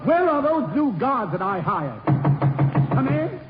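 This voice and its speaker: An actor playing a silly, exasperated prison warden in a 1930s radio comedy, clownish and given to muttered sarcastic asides. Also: male voice, very high voice, big pitch swings, measured pace, loud music behind the voice, exaggerated voice. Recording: muffled, noisy 1930s radio broadcast.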